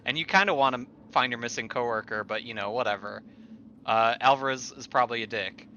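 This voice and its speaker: A man's voice: mid-range voice, brisk, sharp tone. A man chatting casually as a player discussing a tabletop roleplaying game. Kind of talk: casual chat after a game. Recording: muffled audio with faint noise.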